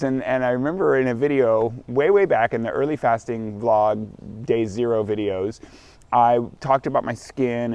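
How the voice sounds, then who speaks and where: A man's voice: medium pitch, a little mellow, no echo. A man in his forties, vlogging outdoors in morning sunshine, talking candidly in a rambling, self-correcting way. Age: 40s. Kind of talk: casual self-recorded vlog.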